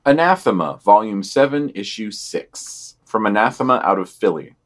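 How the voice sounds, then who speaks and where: A man's voice: fairly low pitch, animated, moderate pace. A man reading news summaries for a podcast, with dry, offhand comments on each item.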